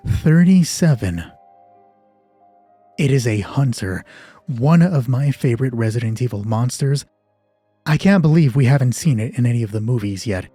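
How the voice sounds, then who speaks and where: A man with a soft, dark and husky voice hosts a solo tabletop game. He thinks aloud conversationally between narration, close on a dry mic with faint music behind him. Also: medium pitch, lively intonation, moderate pace, long pauses, no room sound. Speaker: solo game master, channel host. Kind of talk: solo tabletop roleplay narration with casual asides.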